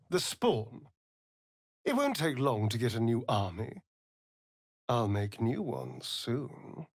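A man with a British accent and a breathy voice playing a vain, sardonic, flirtatious vampire spawn in a video game, with an arch, mocking drawl. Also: medium pitch, no room sound, clean studio sound, full character voice. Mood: pointed, scheming. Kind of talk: theatrical video game character acting.

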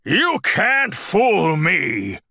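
A grumpy, theatrical storybook bear, acted out by a man. The voice swoops up high when exasperated and stretches words slowly, in a dry, close recording. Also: huge pitch swings, vocal fry, bright, forceful tone, no echo, exaggerated voice. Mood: nervous, growling. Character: grumpy, fussy, dramatic male bear wanting quiet.

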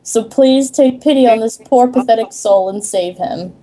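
A woman's voice: a little high, moderate pace, warm tone, rough recording. A woman taking part in a casual amateur script read-along. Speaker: amateur reader in a group script reading.

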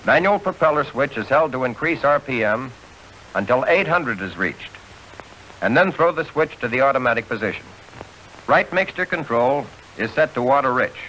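An American man giving measured, scripted instructional narration in the manner of a 1940s training film. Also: medium pitch, expressive, sharp tone, rough recording. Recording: hissy old 1940s film soundtrack.

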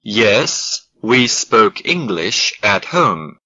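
A man reads English drill sentences very slowly, pausing between each phrase. His voice is bright and sharp, and the recording sounds a little dull and lo-fi. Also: on the low side, sing-song. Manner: slow, carefully spaced model sentences. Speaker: English lesson voice. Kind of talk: slow scripted language drill.

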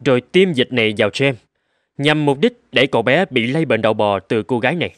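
A man's voice: medium pitch, expressive, fairly quick, close to an everyday voice. A man playing an earnest, caring country doctor in a cartoon dub, serious and concerned. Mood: earnest, explaining. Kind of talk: cartoon dub with first-person storytelling. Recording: music under the voice.

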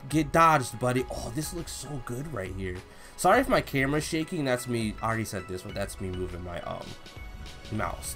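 Young man, reaction host, informal slang, expressive emphasis, music in the background. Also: a little high. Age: young adult. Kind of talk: casual reaction commentary and read-along. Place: small indoor room at home.

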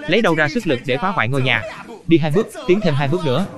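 A man voicing a chatty, excitable character in a dubbed cartoon. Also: a little high, very fast, crowd noise, lightly acted. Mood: amused storytelling. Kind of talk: dubbed cartoon dialogue and storytelling. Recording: music under the voice. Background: music.

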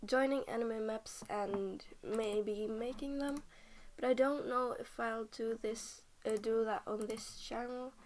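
A woman's voice: a little high, steady tone, takes it slowly, a little mellow, slight background noise. A young woman from Norway, just woken up and tired, rambling through casual game commentary at her computer, often half-whispering and stopping mid-sentence. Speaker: game vlogger.

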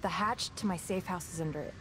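A woman's voice: lowish voice, quick pace, a bit bright, slight background noise, natural voice. An actress playing a tough, wry female survivor in a video game, talking in a brash, joking way. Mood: urgent. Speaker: game character.

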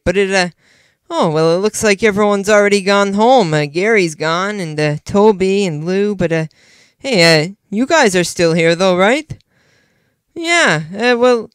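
A man's voice: high voice, fairly bright tone, smooth, dry recording, studio quality. A man voicing a bashful, folksy old male puppet musician in a children's show, hesitant and bumbling.

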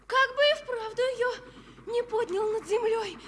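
A woman narrating a scripted fairy tale for Soviet radio, expressive and deliberate. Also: very high voice, heavy background noise. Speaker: storyteller.

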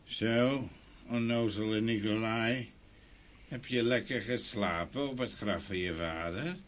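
Fairy-tale role: male actor as bossy eldest peasant son, lowish pitch, mocking. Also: slow pace. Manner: mocking, dismissive. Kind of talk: audio fairy-tale acting.

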